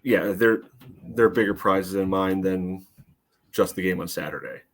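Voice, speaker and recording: Low-voiced man talking sports casually. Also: normal speed. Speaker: sports podcast co-host. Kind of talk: casual soccer discussion.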